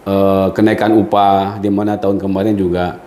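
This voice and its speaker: Male podcast host, low mellow voice, keeps nodding along with short hums and follows up with questions. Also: flat delivery, moderate pace, roomy sound. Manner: attentive, constant hums of agreement, probing questions. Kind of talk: casual podcast interview.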